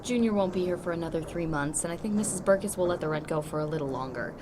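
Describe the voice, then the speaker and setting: A woman's voice: lowish voice, quick pace, lightly acted. A woman playing a supportive, affectionate young wife in a radio drama, speaking in a soothing, tender way. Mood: tender reassurance. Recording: clearly audible background noise.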